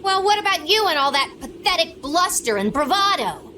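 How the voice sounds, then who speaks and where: A stern, dutiful young lady knight in a game. Her high voice is formal and earnest, with faint ambient noise. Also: sing-song, normal speed, pushed and bright, heavily acted. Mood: scornful. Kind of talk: dramatic video game voice acting.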